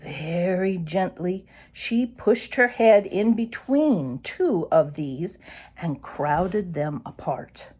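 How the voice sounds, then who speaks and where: A woman narrates a children's story in an expressive read-aloud style. Her pitch moves widely, sits a little low, and she takes her time over the words. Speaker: storybook reader.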